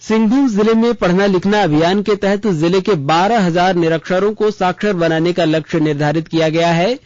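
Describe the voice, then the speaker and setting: High-pitched male radio newsreader, dull sound. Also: a little fast, a little mellow, dry recording. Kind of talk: scripted news bulletin reading.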